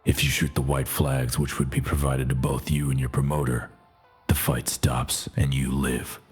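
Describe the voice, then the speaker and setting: An actor playing a grizzled old half-warthog arena gatekeeper in a radio drama, blunt, menacing and gravelly. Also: male voice, extremely low pitch, flat delivery, moderate pace, darkish tone, faint music behind, exaggerated voice. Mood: gruff, stern.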